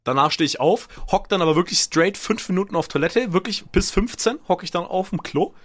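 A man's voice: high voice, lively intonation, a little fast, a bit bright, dry recording. A young man chatting casually as a podcast co-host, with slangy banter. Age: young adult.

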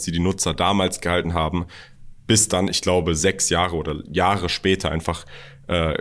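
A man who grew up in Germany, talking casually as a podcast co-host. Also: deep voice.

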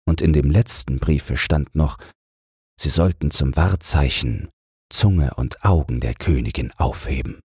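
A man reads a bedtime fairy tale in a calm, soothing manner. His voice is deep and strongly breathy, the pace slow and restful, and the sound dry. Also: no room sound. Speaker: audiobook narrator. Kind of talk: scripted fairy-tale narration for falling asleep.

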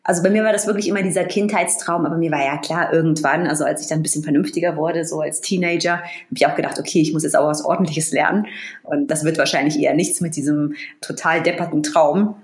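A woman in her thirties, raised bilingual in German and English, telling her story as a guest in a casual interview with lively, animated energy and many fillers. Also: low-pitched, fast talker, reverberant. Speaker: guest, astrophysicist in astronaut training.

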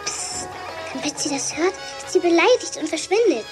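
A woman's voice: very high voice, animated, normal speed, over music. A woman playing an eager, insistent little girl in a German children's radio play. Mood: insistent, secretive. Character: excitable little girl insisting on an invisible friend.

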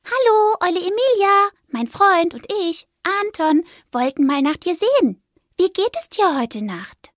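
A woman voicing a small, lively female mouse in a storybook, chirpy and a bit bossy. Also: extremely high pitch, unhurried, dry recording, studio quality, pitched above the performer's own voice. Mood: cheerful. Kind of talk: storybook character acting.

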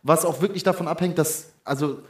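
A man from Hamburg, chatting as a guest around a table. He talks in an animated, slangy way and often breaks off and restarts his sentences. His voice sits somewhat high for a man. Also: brisk, husky. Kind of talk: casual chat.

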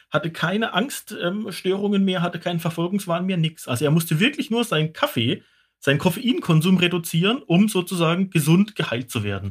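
A man's voice: high voice, fairly bright tone, husky. A man speaking as an expert podcast guest, explaining things as casual storytelling.

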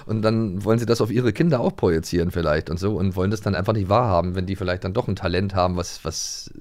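A middle-aged man co-hosting a podcast, chatting casually and haltingly as he searches for words. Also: sits low, a little mellow. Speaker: podcast co-host. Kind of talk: casual reminiscing chat.

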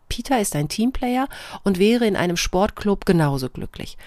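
A woman's voice: fairly low pitch, darkish tone, no room sound, clean studio sound. A woman hosting a podcast, reading aloud and talking things through. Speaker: podcast host. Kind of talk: podcast talk, reading out written answers and chatting.

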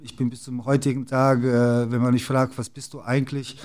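A man's voice: mid-range voice, little pitch movement, brisk, darkish tone. A middle-aged man from the former Yugoslavia, a guest in an interview, who talks with many fillers and restarts. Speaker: interview guest, basketball club executive. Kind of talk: casual interview talk.

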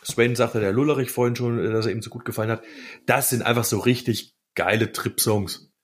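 A man's voice: mid-range voice, steady tone, fairly quick, breathy edge. A man co-hosting a podcast, chatting casually about music in an enthusiastic, slangy, emphatic way. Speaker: podcast co-host.

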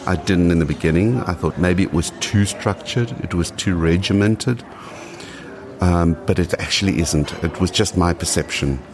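Deep gravelly South African man. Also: moderate pace, crowd noise. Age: late 40s. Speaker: podcast guest. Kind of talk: casual conversational interview.

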